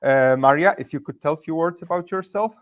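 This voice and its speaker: A man's voice: on the high side, a little fast, lo-fi sound. Man hosting an online interview. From Poland. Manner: formal, hesitant with "uh" fillers. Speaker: webinar host.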